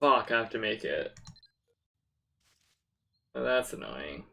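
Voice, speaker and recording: A man's voice: lowish voice, sing-song, takes it slowly, creaky, bright, forceful tone. A man streaming an online role-playing game with casual, muttering commentary and occasional swearing. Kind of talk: casual gameplay commentary, reading game dialogue aloud.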